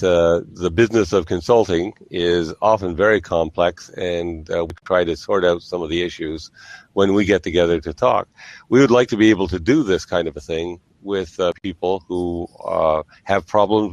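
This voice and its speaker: A man hosting a casual online group chat, explaining things in a measured, halting way. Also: low-pitched, a little mellow, no room sound, muffled recording. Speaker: host of an online group discussion of consultants.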